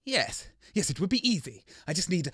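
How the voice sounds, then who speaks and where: A man's voice: sits high, big pitch swings, moderate pace, a bit bright, breathy edge, no echo, studio quality, full character voice. A man playing a pompous, scheming, theatrical radio host in a scripted comedy drama. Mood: indignant, scheming. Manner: pompous, indignant.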